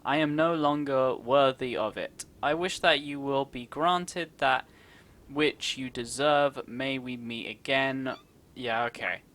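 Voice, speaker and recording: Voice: mid-range voice, slow, a bit bright. A gaming streamer giving casual, playful commentary with sarcastic asides and reading game text aloud. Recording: game music clearly behind the voice.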